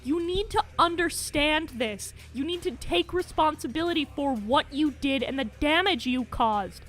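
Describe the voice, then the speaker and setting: A young woman with a strikingly high-pitched voice reads a scripted rebuke. She is accusatory and emphatic, swearing as she goes. Faint music plays underneath her commentary. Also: fairly bright tone. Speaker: video commentator. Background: background music.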